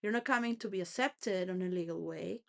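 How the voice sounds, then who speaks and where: A woman's voice: fairly low pitch, brisk, vocal fry. Rambling woman vlogger, wandering talk. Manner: meandering, restarts, emotional swings, laughs between phrases. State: homeless. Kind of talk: rambling personal monologue.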